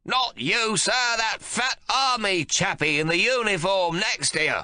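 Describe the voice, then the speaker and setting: A man voicing an irascible, half-blind very old man who shouts bluntly. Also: high-pitched, animated, a little slow, no room sound, studio quality, pitched above the performer's own voice, heavily acted. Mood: irate.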